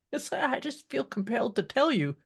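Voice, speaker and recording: A woman co-hosting a podcast in casual chat, with a calm, soothing voice and a reflective, easygoing manner. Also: high-pitched, normal speed, gravelly, husky. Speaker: podcast co-host.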